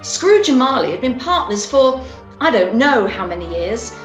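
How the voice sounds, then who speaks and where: A woman's voice: a little high, big pitch swings, normal speed, faint music behind. Woman storyteller in a roomy echo. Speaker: narrator. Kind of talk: storytelling narration for amateur radio play.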